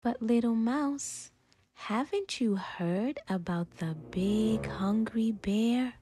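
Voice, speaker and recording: A woman reading a children's picture book aloud expressively, dramatically stretching words and dropping into breathy whispers. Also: fairly high pitch, takes it slowly, dark tone, no echo. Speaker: storytime reader and channel host.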